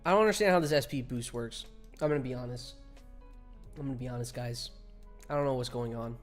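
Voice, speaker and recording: A man's voice: mid-range voice, fast, soft background music. A man in his twenties chatting casually with viewers while streaming a game, laughing often and reading chat aloud. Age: 20s.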